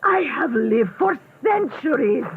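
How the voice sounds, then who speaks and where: A furious ancient female vampire, grand and menacing in a horror audio drama. Her very high voice swoops and draws words out slowly, through thin phone-like sound. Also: very animated, slightly rough, heavily acted. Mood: furious, imperious. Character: imperious, centuries-old female vampire, wounded and furious. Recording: muffled phone-like sound, faint noise.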